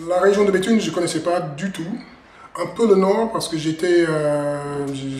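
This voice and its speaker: A man with an American accent, a native English speaker, introducing himself as a writer in residence in halting speech full of restarts. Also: on the high side, darkish tone. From United States. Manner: halting, with frequent restarts and repeated words. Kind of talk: casual self-introduction. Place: on a river barge. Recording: echoey room with faint ambient noise.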